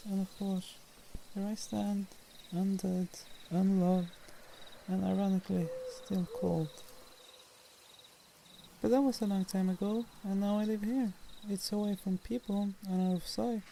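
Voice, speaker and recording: A woman's voice: medium pitch, creaky, warm tone. A woman voicing a lonely, youthful-looking vampire in an ASMR roleplay, speaking in a wistful, formal and shyly hesitant way. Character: lonely, tsundere female vampire, youthful but 130 years old. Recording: noisy, nighttime crickets and owls behind. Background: night woodland ambience with crickets, owls.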